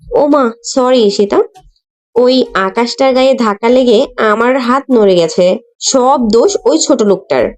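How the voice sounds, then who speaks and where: A woman's voice: fairly high pitch, moderate pace, a little mellow, lightly acted. A woman voicing a snobbish, scheming young woman with a sneering manner in an audio story. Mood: feigned apology. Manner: sneering, commanding.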